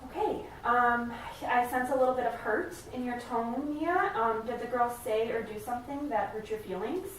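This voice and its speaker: A woman playing a supportive family therapist in a role-play, speaking in a hesitant, gently validating way. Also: on the high side, animated, normal speed, natural voice. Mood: calm, supportive. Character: supportive, attentive female family therapist leading a session. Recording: echoey room, distant mic, faint noise.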